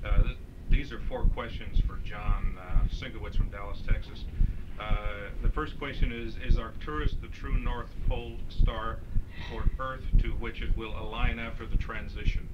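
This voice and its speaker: A man politely and haltingly reading out written questions at a live session. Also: on the low side, fairly even intonation. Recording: muffled, very noisy 1980s cassette.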